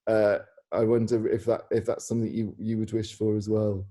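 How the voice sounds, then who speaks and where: A man's voice: lowish voice, flat delivery, moderate pace, dark tone. A man hosting an informal question-and-answer session, phrasing his questions in a hesitant, rambling way. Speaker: interviewer and theatre director.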